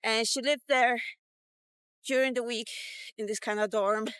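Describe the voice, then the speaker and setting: A woman's voice: fairly high pitch, steady tone, unhurried, fairly bright tone, clear, resonant tone, no echo. A woman in her fifties telling a personal story casually, in a candid, rambling, sweary way. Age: 50s. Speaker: vlogger. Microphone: portable mic, walkie-talkie vlog.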